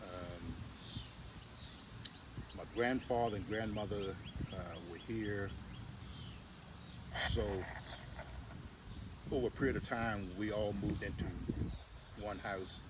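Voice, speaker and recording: An older man, recounting his memories in an unhurried, halting, conversational way. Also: fairly low pitch, steady tone. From Orange County, Virginia, United States. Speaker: interview guest, reverend. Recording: distant mic, loud outdoor background noise.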